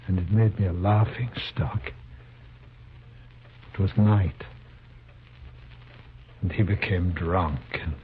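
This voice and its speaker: An actor in a 1950s radio drama playing an ugly, desperate fugitive who speaks in florid, halting Shakespearean phrases. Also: male voice, lowish voice. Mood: broken, confessing. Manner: florid, theatrical, halting speech. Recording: dull, noisy old radio recording.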